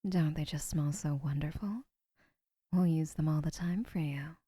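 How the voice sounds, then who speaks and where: A woman playing a doting, obsessive young woman who keeps the listener, speaking in a soft, whispery, sweetly possessive way in an audio roleplay. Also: deep voice, normal speed, slightly rough, no echo, clean studio sound.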